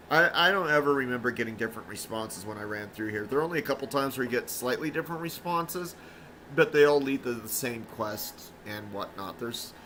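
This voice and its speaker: A man commenting casually as he streams an old video game, rambling with plenty of ums and restarts. His voice is bright and forceful. A faint hum of noise sits under it. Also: medium pitch. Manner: rambling, many fillers and restarts. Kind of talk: casual let's-play commentary.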